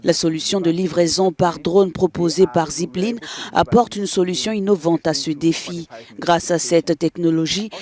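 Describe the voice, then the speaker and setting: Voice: mid-range voice, darkish tone. A narrator reading scripted voice-over for television news reports.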